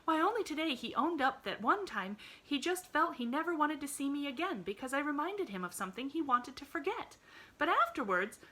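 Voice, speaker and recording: A woman's voice: sits high, sing-song, a little fast, bright, forceful tone, pitched above the performer's own voice, exaggerated voice. A woman voicing a cheerful, earnest little girl who talks eagerly.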